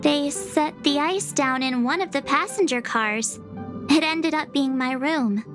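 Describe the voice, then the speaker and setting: A woman's voice: sits high, a little slow. A woman playing a sweet young girl with a lost memory in a video game. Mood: curious, grateful. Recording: music behind voice.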